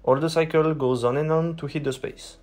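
A man's voice: a little high, fairly even intonation, brisk, a little mellow, faint music behind. A man with a strong French accent, hosting and narrating a scripted explainer, walking through the basics step by step. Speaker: host.

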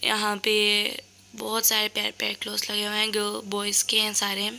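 Hoarse, gravelly woman chatting to viewers. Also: medium pitch, monotone, brisk, sharp tone. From Pakistan. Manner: cheerful, chatty, points things out to viewers. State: sore throat. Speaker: vlogger. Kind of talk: casual shopping vlog narration. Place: voice-over recorded later, indoors.

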